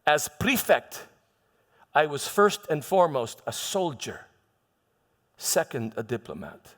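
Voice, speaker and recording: A proud, defensive Roman prefect, acted by a man in a church dramatization, recounts his deeds in a high-pitched male voice. He speaks theatrically but haltingly, doubling back on words like a soldier justifying himself. The sound rings with the long reverb of a hall. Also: very animated, a bit bright, exaggerated voice. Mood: proud, defiant. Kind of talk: first-person church dramatic monologue.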